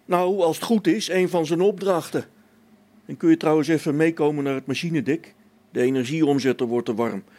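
A man's voice: fairly high pitch, fairly quick, darkish tone, natural voice. A man playing a practical, down-to-earth space engineer in a radio play, speaking in a colloquial way. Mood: matter-of-fact. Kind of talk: amateur science fiction radio play acting.

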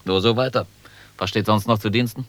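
An actor playing a wry, guarded army major in a German radio drama, speaking with patronizing irony. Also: male voice, fairly low pitch, steady tone, fairly quick, fairly bright tone, natural voice. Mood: amused, probing. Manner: sardonic, condescending, chuckling at the young.

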